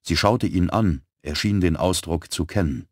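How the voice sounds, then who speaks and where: A man narrating an audiobook thriller in an even, composed manner, close to a studio mic. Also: deep voice, moderate pace, no echo. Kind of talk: scripted narration.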